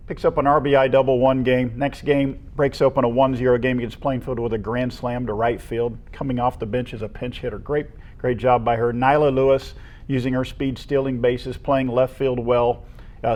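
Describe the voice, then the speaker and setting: Medium-pitched man co-hosting sports talk. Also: fairly even intonation, moderate pace, darkish tone. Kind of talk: conversational sports analysis.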